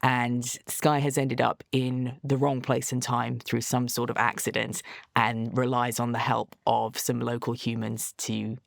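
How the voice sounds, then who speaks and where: Even, creaky-voiced male actor in interview. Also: mid-range voice, a little slow, no echo, clean studio sound. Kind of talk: reflective interview talk.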